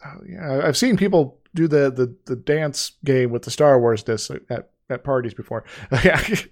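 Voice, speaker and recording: A man co-hosting a casual podcast, getting over an illness with a sore throat, talking haltingly with many restarts and fillers. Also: mid-range voice. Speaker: podcast co-host.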